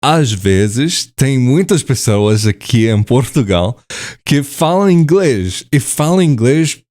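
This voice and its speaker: A man's voice: mid-range voice, sing-song, slow pace, a little mellow. A man vlogging in a language he is still learning, hesitant and full of fillers and self-corrections, often laughing at himself. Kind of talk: casual to-camera talk in a learned language.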